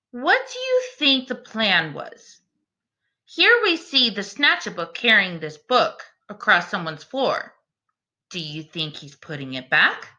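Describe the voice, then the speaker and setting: A woman teacher reads aloud to children. Her voice is fairly high and bright, with very animated intonation. She sounds encouraging and speaks directly to young listeners. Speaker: teacher reading a picture book aloud. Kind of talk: expressive children's read-aloud with questions.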